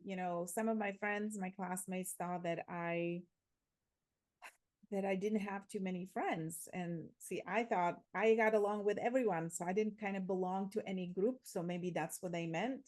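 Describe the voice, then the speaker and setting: A woman's voice: mid-range voice, fairly even intonation, normal speed, darkish tone. A woman from Slovakia, telling personal stories conversationally as an interview guest, often repeating words as she goes. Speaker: interview guest, theater teacher.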